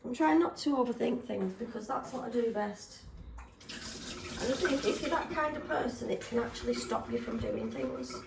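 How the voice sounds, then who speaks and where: A woman chatting casually and self-deprecatingly while testing paint colours, slightly hoarse from a sore throat. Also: fairly high pitch, normal speed, darkish tone, echoey room, slight background noise. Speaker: craft vlogger.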